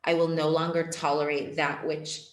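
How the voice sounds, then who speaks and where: Low-voiced young woman in an echoey room. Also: level tone, moderate pace. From born in Portugal, raised in Ethiopia. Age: young adult. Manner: reflective, earnest, many filler "like"s. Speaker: guest, empowerment coach. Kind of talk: casual podcast interview.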